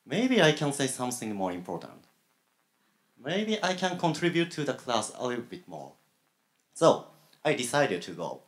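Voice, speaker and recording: Japanese-accented man, lively halting speech. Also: medium pitch. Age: young adult. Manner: halting, many pauses and fillers. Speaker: graduate student panelist. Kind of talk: panel talk. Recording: echoey room.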